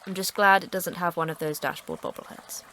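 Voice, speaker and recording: A woman playing a terse, dry-witted station manager in an audio drama, with deadpan humour. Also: on the low side, a little fast, background noise, lightly acted. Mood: dry, wry. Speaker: voice actor in a fiction podcast.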